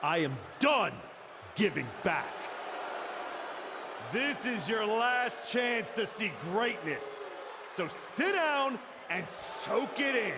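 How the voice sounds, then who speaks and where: Determined male wrestler, highish voice, noisy crowd. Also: sing-song, slow pace, pauses often, exaggerated voice. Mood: defiant. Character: determined, proud male comeback pro wrestler. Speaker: pro wrestler. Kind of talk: video game cutscene acting. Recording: noisy arena with crowd behind.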